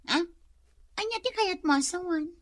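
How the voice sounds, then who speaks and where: A woman's voice: extremely high pitch, lively intonation, moderate pace, fairly bright tone, dry recording, studio quality. A woman playing a proud, coy single woman in an Ilocano comedy radio drama, full of curt questions, with an Ilocano accent. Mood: baffled, coy.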